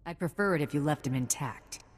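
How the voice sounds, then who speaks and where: A woman's voice: deep voice, animated, fairly quick, long reverb tail. A woman playing a tough, dryly confident bounty hunter in a video game, speaking in a terse, businesslike way.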